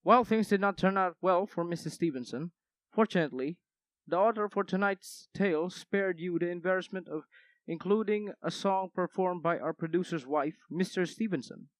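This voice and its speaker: A young-sounding high-pitched man as radio announcer. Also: normal speed, dry recording, natural voice, rough recording. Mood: wry, composed. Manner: formal, dryly tongue-in-cheek. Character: wry radio announcer wrapping up a thriller. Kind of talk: scripted radio announcer narration.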